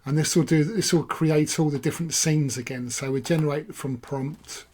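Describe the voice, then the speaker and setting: A hoarse man, casually talking through a software walkthrough and thinking aloud as he clicks. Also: a little high, steady tone, normal speed, slight background noise. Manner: rambling, self-correcting, thinking aloud. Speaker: software reviewer. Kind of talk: casual screen-recorded walkthrough.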